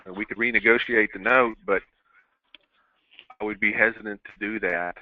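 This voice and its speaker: A man's voice: on the low side, fairly even intonation, normal speed. A male chief financial officer answering investor questions over a telephone conference call. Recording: thin dry telephone line.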